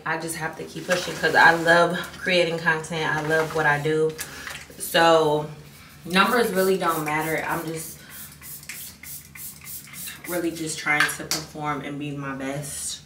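A woman's voice: sits low, flat delivery, measured pace. Young female vlogger, candid and rambling, noisy home recording. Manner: candid, rambling, restarts often. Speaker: beauty vlogger. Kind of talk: casual chat to camera. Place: bathroom at home, indoors. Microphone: camera mic at a distance. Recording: echoey bathroom, distant mic, background noise.

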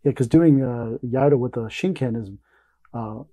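A man's voice: mid-range voice, normal speed, mellow, no echo, rough recording. A middle-aged man hosting a podcast interview in a small studio, asking short questions. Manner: short questions and backchannel replies. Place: small studio room, indoors.

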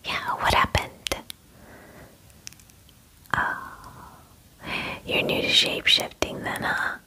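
A woman in her twenties whispering an ASMR roleplay as a friendly werewolf groomer, in a breathy, hesitant, chatty way, close to the microphone. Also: slow, sharp tone, low-quality recording. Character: friendly young female werewolf salon groomer. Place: small indoor room at home. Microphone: close microphone, face near camera.

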